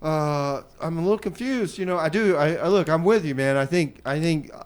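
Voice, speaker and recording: A man's voice: a little high, normal speed, smooth. A man hosting an informal radio comedy show, speaking in a hesitant, conversational way and often repeating his phrases. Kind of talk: improvised comedic radio chat.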